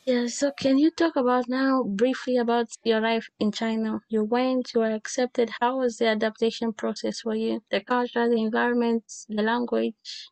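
Higher-voiced young woman hosting an interview. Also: little pitch movement, moderate pace, gravelly, darkish tone, no echo, soft background music. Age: young adult. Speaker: podcast host, interviewer. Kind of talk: casual conversational interview.

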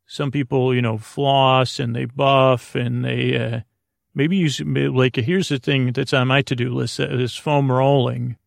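A man with a lower-pitched-than-bright, middle-register voice full of creak speaks close and dry, as a podcast host lulling listeners at bedtime. He meanders in a halting, soothing way, trailing off into tangents. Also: fairly even intonation, dry recording. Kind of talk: rambling, soothing bedtime monologue for sleep.

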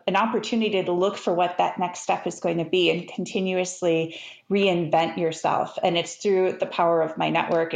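A woman with a fairly low voice speaks earnestly on a panel, taking her time over each point. The room around her is echoey. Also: creaky. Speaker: panelist, tech industry leader. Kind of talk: panel discussion.

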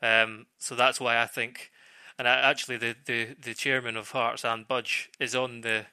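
A man giving off-the-cuff football analysis as a pundit, haltingly, searching for words and repeating phrases. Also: medium pitch, level tone, sharp tone, clear, resonant tone, no echo.

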